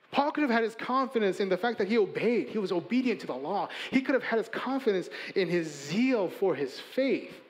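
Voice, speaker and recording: Earnest preaching man with a high voice. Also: in a large hall, poor audio. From Chicago, USA. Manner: earnest, emphatic preaching with building lists and hushed endings. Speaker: pastor. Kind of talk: sermon.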